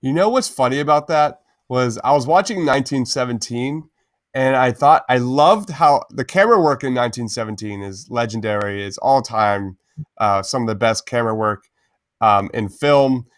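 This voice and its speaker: A man's voice: medium pitch, moderate pace, dry recording, studio quality. A man hosting a podcast, giving opinions in informal, emphatic fan chat. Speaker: podcast host.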